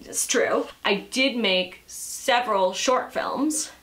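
A woman's voice: on the high side, takes it slowly, a bit bright. A woman around thirty talking casually to camera in an unscripted vlog, chatty and self-deprecating, laughing often, from a sunlit room at home. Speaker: vlogger and creative entrepreneur. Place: sunlit room in front of glass doors, indoors.

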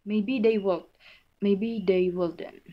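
A woman's voice: mid-range voice, steady tone, takes it slowly, lo-fi sound. A young woman playing a brooding, remorseful farm wife in an amateur stage drama. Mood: earnest. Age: young adult. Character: grieving, resentful farm wife, middle-aged. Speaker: amateur student actor.

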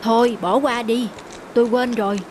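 A man's voice: very high voice, steady tone, moderate pace, a little hiss or hum. A man voicing a mild, decent, plain-spoken young traveller in a cartoon. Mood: kindly. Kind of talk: cartoon dubbing. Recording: music behind the voice.

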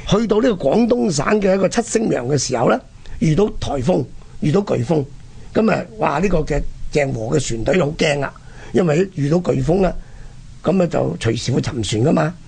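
A Hong Kong man presents history as lively storytelling. His voice is fairly high and very rough, with gravelly creak, and he talks in a colloquial way, setting up questions for himself. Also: fairly quick. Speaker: radio history programme host.

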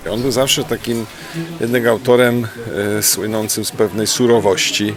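A man's voice: medium pitch, steady tone, normal speed, a crowd in the background. A man who writes poetry, reflecting thoughtfully with tag questions and restarts. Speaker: poet. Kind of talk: reflective interview talk.

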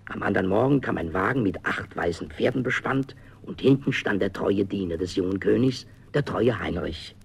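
Casting: male storybook narrator, gravelly and raspy, dramatic delivery. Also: on the low side, normal speed, hardly any pauses. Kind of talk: dramatic fairy-tale narration.

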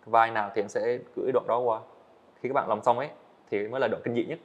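A man's voice: mid-range voice, steady tone, fast talker, lo-fi sound. A young man, a guest animator, earnestly explaining his work step by step in a casual interview on a studio set.

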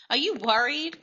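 A woman playing a bright, bubbly young boss. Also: high-pitched, lo-fi sound, exaggerated voice. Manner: bubbly, teasing, laughs often. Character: confident young female business founder, playful.

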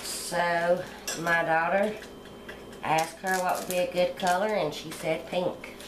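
A middle-aged woman hosting a cooking channel, explaining step by step how to decorate a sugar egg at home in a relaxed, homey way. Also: fairly low pitch, measured pace, echoey room, background noise. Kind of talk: hands-on decorating tutorial.

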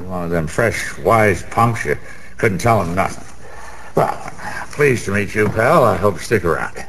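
A middle-aged Broadway gambler in a radio play, slow and gravelly, in a very reverberant space. He brags and wisecracks in boozy, rambling slang, like a chummy know-it-all. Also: low-pitched, animated, fairly bright tone, exaggerated voice. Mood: familiar, surprised. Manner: slangy, chuckling, rambling, streetwise. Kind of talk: 1990s radio drama acting. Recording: reverberant hall, faint background noise.

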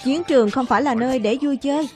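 A worried, protective mother in a cartoon dub, played by a woman. Her voice is high, fretful and admonishing, with music clearly playing behind it. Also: fast, a little mellow, lightly acted. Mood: dismissive.

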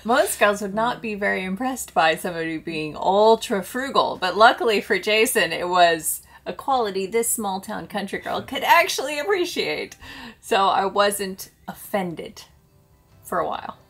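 An American woman in her thirties talks casually to the camera as a travel vlogger. Her voice sits at a middle pitch, and she tells stories in a chatty, animated manner. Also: normal speed. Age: 30s.

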